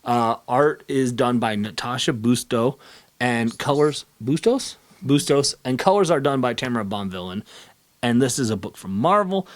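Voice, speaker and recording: A man's voice: medium pitch, expressive, normal speed. A man hosting a video channel, chatting casually and enthusiastically as he interviews his co-host.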